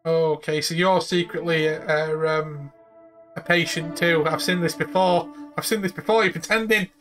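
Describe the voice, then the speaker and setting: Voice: medium pitch, fairly even intonation, normal speed, fairly bright tone, soft background music. A game streamer giving casual live commentary, thinking aloud and swearing freely.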